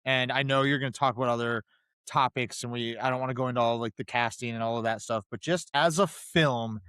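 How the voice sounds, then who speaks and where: A man's voice: medium pitch, fairly even intonation, brisk, sharp tone, clean tone, no room sound, studio quality. A man co-hosting a casual film discussion, talking conversationally and restating his points with emphasis. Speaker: podcast co-host.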